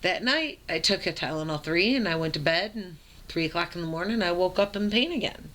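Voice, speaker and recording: Young adult woman vlogger, deep raspy voice, plainspoken storyteller. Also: lively intonation, fairly quick, fairly bright tone, rough recording. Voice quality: raspy low voice. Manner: frequent ums and uhs, plain and matter-of-fact. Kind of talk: casual personal storytelling.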